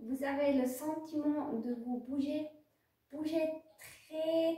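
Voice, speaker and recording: Very slow, high-voiced woman guiding meditation. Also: mellow. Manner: slow, pausing instructions in short phrases. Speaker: yoga nidra teacher. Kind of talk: guided meditation. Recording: very echoey room, distant microphone.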